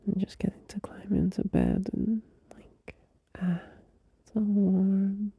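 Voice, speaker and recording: Male performer as a tender young boyfriend at night. Slow, breathy whisper with gravelly fry, close and dry. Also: high-pitched, flat delivery, dry recording, dull audio, full character voice. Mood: tender, sleepy. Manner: soft drowsy whisper, unhurried, with small laughs. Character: gentle, loving young boyfriend soothing a partner at night. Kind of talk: ASMR audio roleplay. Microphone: close mic.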